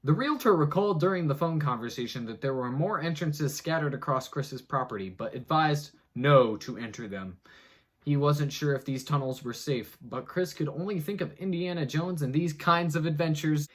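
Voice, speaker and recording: A man giving a mock-dramatic comic reading of an article, breaking off for rambling asides, jumping between loud and hushed. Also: fairly high pitch, moderate pace. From United States. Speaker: host.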